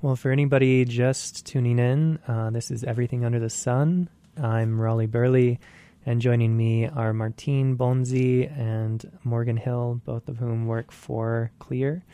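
Male interview host, mellow and fry-laden, conversational and halting. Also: medium pitch, level tone. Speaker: radio host.

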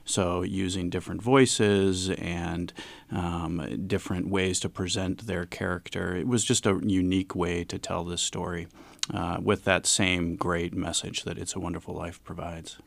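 A man speaking as an interview guest, explaining in a measured, earnest way like a director presenting a production. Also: deep voice, flat delivery, vocal fry, no room sound.

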